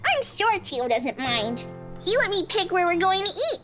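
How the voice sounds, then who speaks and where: A man's voice: extremely high pitch, big pitch swings, normal speed, pitched above the performer's own voice. A man voicing a cheerful, eager young woman from a visual novel in a light, bubbly, reassuring way, with game music behind. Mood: breezy reassurance.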